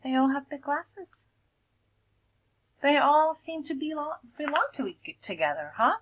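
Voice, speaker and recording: A woman teacher reading a picture book aloud to children, expressively, stretching words and dropping into hushed explanatory asides. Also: sits high, sing-song, measured pace, highs cut off. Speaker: elementary school teacher.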